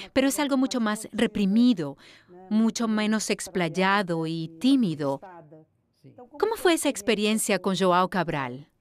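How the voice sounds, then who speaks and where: An actress voices a scholarly female interviewer in a dubbed conversation. Her pitch is medium for a woman. She is attentive and articulate, putting things in an inquisitive, conversational manner. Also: close to an everyday voice. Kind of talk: Spanish voice-over dub of an interview.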